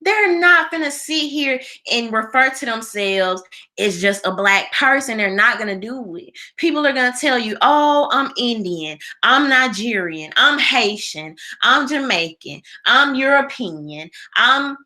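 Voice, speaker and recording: An American woman hosts a casual livestream in a bright, forward voice. She takes her time, speaking conversationally and with emphasis as she gives her opinions and answers viewers. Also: a little high, lively intonation, unhurried. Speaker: live show host.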